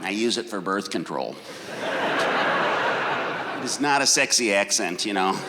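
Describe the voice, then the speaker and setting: A man from Wisconsin with a Wisconsin accent, performing a crude, animated stand-up comedy set on a club stage. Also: on the low side, unhurried. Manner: animated, crude, jumps into exaggerated character voices. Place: comedy club stage before a laughing crowd. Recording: live hall with loud crowd noise.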